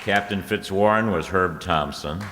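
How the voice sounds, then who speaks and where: Low-voiced male announcer over crowd noise. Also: moderate pace. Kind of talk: announcing cast credits. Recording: echoey hall, loud crowd noise.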